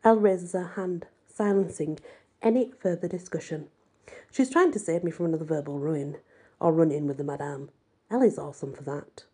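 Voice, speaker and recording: A woman reading a first-person story aloud with expressive, dramatic delivery and a husky, breathy voice. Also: sits low, moderate pace. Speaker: amateur audiobook reader.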